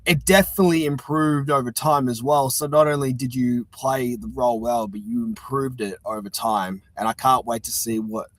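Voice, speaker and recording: A man's voice: mid-range voice, moderate pace, few pauses, dry recording. A man hosting a casual chat-style interview, talking with effusive enthusiasm. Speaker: host interviewing a guest.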